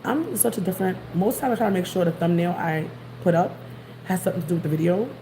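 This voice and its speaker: New york lady rambling on a live stream, kinda low voice, noisy street sound behind her. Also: expressive, fast, dark tone. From New York City, Queens. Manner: rambling, chatty, greets viewers. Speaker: vlogger. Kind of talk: casual livestream chat. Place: outdoors on the street. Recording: noisy background.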